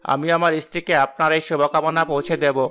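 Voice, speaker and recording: A man playing a loyal, humble royal minister in an animated fairy tale, speaking formally and deferentially. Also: on the high side, fast talker, faint music behind. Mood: grateful, respectful.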